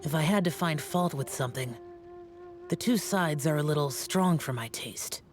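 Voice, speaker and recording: A man voicing a reserved, aloof immortal in an anime video game, measured, blunt and detached. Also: fairly high pitch, faint music behind, natural voice. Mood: cool, reserved. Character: reserved, aloof male immortal with a cool temper.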